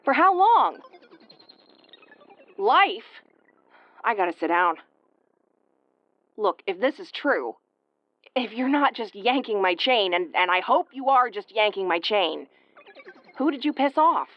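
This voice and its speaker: A woman's voice: mid-range voice, big pitch swings, slow, long pauses, highs cut off, soft background music. A woman playing a tough, prickly but secretly caring tsundere starship commander in an intimate audio roleplay, brusque and prone to stammering when flustered. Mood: shocked, worried.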